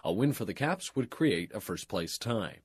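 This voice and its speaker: A low-pitched male announcer reads scripted radio news and sports copy. His voice has a husky grain and a formal, measured broadcast delivery. The sound is dry, with no room around it. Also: very animated, no echo.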